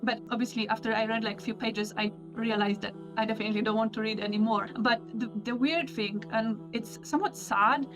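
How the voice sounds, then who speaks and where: A young woman, a guest telling her personal story in a candid, reflective way with thoughtful pauses. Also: fairly high pitch, steady tone, music in the background, poor audio. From Poland. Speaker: interview guest.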